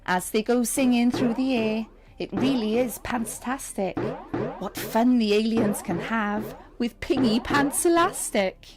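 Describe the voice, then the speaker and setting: A woman reading a picture book aloud to young children, expressive and speaking straight to her listeners. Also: fairly high pitch, measured pace. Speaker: storyteller and teacher reading to children. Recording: music under the voice. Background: music and sound effects.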